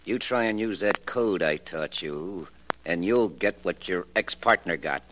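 An actor with an American accent playing a pushy, menacing small-time card hustler in a 1950s radio crime drama, talking tough and slangy. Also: male voice, low voice, unhurried, background noise. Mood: threatening.

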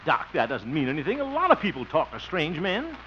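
An actor playing a boastful, bumbling small-town bachelor who gets flustered easily, in a 1950s radio comedy. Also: male voice, fairly high pitch, wildly swooping pitch, a little fast. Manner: blustery, easily flustered, chuckles. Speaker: lead comic character. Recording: dull old radio recording with hiss.

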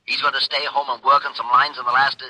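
A man's voice: medium pitch, little pitch movement, fast, bright, forceful tone. An actor playing an indignant, suspicious, jealous man in a 1940s radio mystery, agitated and accusing in a clipped way. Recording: noisy, clicking old-time radio recording.

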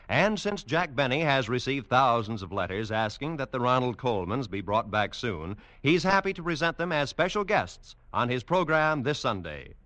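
A man's voice: medium pitch, bright, forceful tone, no room sound. An American man reading scripted announcements in vintage radio announcer style. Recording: vintage radio broadcast, music underneath.

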